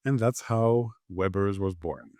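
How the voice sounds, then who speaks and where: A man from Greece, a podcast guest, giving relaxed conversational answers with frequent fillers and restarts. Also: sits low, a little slow, dry recording, studio quality. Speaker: podcast guest, agency founder.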